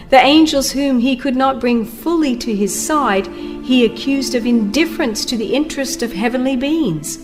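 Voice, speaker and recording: A woman's voice: a little high, darkish tone. A woman narrating a religious audiobook in a solemn, formal, dramatic scripted delivery. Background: music under the voice.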